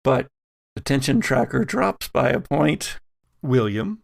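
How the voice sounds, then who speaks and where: A man narrating a tabletop horror game as game master, with deliberate, dramatic phrasing and pauses. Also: medium pitch, lively intonation, vocal fry.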